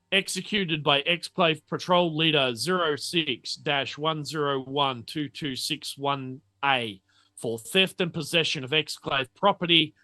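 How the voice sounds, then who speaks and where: A man running a tabletop roleplaying game as game master, narrating off the cuff and often halting to think mid-sentence. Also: fairly high pitch, bright, forceful tone, no echo.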